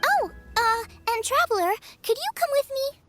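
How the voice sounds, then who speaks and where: A woman's voice: very high voice, lively intonation, normal speed, fairly bright tone. A woman voicing a cheerful, earnest teenage girl cook in a game, sounding youthful, perky and friendly. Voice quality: youthful, girlish. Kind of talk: animated game voice acting. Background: music.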